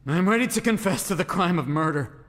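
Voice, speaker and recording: An actor playing a theatrical, agitated ventriloquist and murder suspect in an audio drama, dramatic and sarcastic. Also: male voice, sits high, lively intonation, fairly quick, a bit bright. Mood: solemn, confessing. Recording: long reverb, music underneath.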